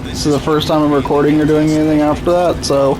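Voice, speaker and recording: A man's voice: a little high, level tone, quick pace, a crowd in the background. A young man with a sore, strained throat, casually commenting on his own baseball game. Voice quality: voice strained and rough. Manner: laid-back, self-deprecating. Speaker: gaming YouTuber. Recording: noisy home recording.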